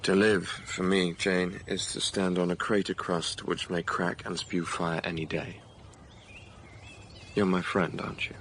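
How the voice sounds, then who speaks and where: An actor playing a commanding, brooding gentleman with a dark secret in a period radio drama, speaking tersely. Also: deep voice, level tone, measured pace, slight background noise. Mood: brooding, intimate.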